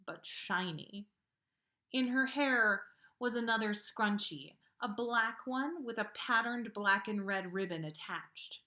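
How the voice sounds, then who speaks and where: A woman narrates a story for a livestream audience. Her pace is slow and measured, with pauses between phrases, and her voice sits at an ordinary pitch for a woman. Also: sharp tone. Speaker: podcast host reading listener fan fiction. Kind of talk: story read aloud on a livestream.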